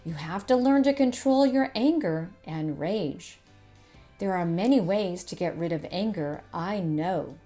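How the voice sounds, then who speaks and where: A woman's voice: fairly low pitch, animated, unhurried, dark tone, faint music behind. Woman playing a curious, helpful zoo monkey. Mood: advising. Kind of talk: children's storybook read-aloud.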